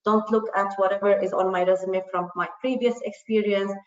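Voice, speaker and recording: A woman's voice: mid-range voice, fairly even intonation, fairly quick. Arabic-speaking woman on an echoey line. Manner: earnest, many fillers and restarts. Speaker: panelist. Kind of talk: panel discussion. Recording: muffled, roomy.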